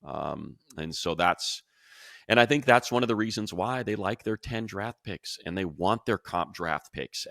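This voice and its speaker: Guy sports podcast host, really low creaky voice, dry sound, casual but emphatic. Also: a bit bright, dry recording. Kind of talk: casual sports talk and analysis.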